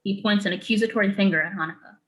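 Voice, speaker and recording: A woman's voice: medium pitch, rapid-fire, fairly bright tone. A woman narrating a screenplay, reading out scene headings and stage directions as scripted narration.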